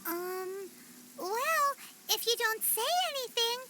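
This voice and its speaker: A woman voicing a tiny, childlike game companion who is squeaky, perky, blunt and quick to get defensive. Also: expressive, bright, forceful tone, slight background noise, heavily acted. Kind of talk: scripted anime game voice acting.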